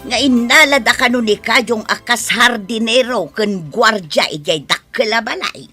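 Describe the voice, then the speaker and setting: Scheming radio-drama mother: bright, forceful, high voice, nagging and ambitious, music underneath. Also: moderate pace. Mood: goading. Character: scheming village mother, sharp and goading toward her son. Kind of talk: Philippine radio drama acting.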